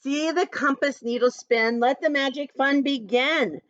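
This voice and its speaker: A woman's voice: high voice, a little slow, fairly bright tone, no echo, muffled recording. A woman voicing an adventurous, hearty storybook grandfather in a rousing, exclamatory read-aloud manner. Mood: playful incantation. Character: adventurous, hearty grandfather leading children on magical trips.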